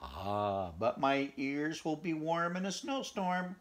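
A man voicing a small friendly hedgehog who stubbornly defends his hat, in a high, eager voice. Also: slow. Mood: earnest. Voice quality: high, excited and emphatic.

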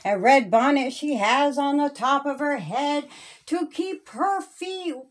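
High-voiced woman reading a children's storybook slowly. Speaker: children's author reading her own story. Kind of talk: rhyming children's storybook narration.

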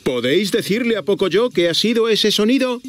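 A man's voice: high voice, expressive, moderate pace. A man with a Castilian Spanish accent narrating a preschool cartoon, talking playfully to the characters and expressively to small children. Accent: Castilian Spanish from Spain. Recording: children's music behind the voice.

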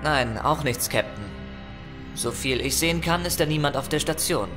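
An actor playing an easygoing ship's computer technician and hacker in a sci-fi audio drama, casual and self-assured. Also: male voice, a little high, moderate pace, over music, lightly acted. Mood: confident concentration.

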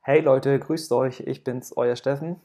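A man's voice: mid-range voice, steady tone, unhurried, warm tone. A young man in his twenties with a Hamburg German accent, hesitantly greeting viewers in an old vlog clip recorded on an earphone mic. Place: small room indoors. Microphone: earphone headset mic.

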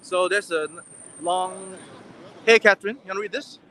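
A man in his forties with a high, bright voice, chatting as he hosts a walking livestream. He talks into a handheld phone outdoors, with faint street noise behind him. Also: fairly even intonation, moderate pace. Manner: chatty, reads viewer comments aloud. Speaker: livestream host. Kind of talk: casual livestream chat. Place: busy city pedestrian street outdoors. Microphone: gimbal-mounted phone at arm's length.

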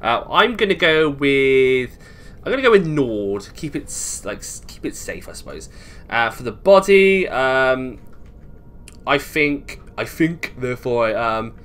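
A man's voice: medium pitch, animated, a little slow, fairly bright tone. A man with a British accent giving casual, rambling playthrough commentary as a gaming video host, thinking aloud as he goes. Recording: game music behind voice. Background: video game music.

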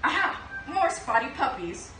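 Female reader as motherly storybook dog, exclamatory, bright and high, recorded at a distance. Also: big pitch swings, a little slow, heavily acted. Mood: exclaiming. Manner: exclaiming, calling out. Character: caring female Dalmatian dog mother gathering puppies. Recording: roomy, noisy, distant camera microphone.

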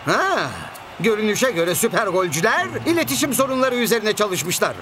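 A man's voice: high voice, animated, normal speed, background noise. A man playing a scheming, gloating cartoon villain with theatrical delivery in a Turkish dub. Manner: theatrical, gloating, given to evil laughter. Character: gloating scheming villain, a rival team's boss. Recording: loud music behind.

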